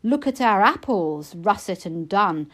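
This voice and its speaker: A woman's voice: mid-range voice, lively intonation, a little slow. A woman voicing sly goblin fruit sellers, coaxing and tempting as they list their wares in a poem.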